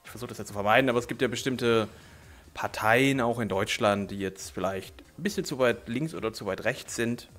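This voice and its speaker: A man's voice: mid-range voice, a little fast, a bit bright. A man with a German accent and a slight cold, chatting casually and at length as a gaming video host. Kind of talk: casual chat while gaming. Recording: game music behind the voice. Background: video game music.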